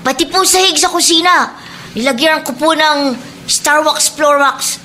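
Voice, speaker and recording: High-pitched, polite Filipino child. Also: female voice, unhurried, sharp tone, slight background noise. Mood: busy, courteous. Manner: polite, deferential. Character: earnest, polite adopted schoolchild, reformed young street snatcher named Mark. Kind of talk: radio drama acting.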